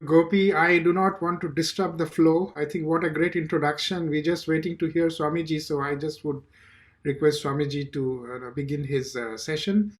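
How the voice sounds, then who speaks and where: A man's voice: on the high side, steady tone, moderate pace. A man moderating an event, politely handing over to a speaker in an informal way.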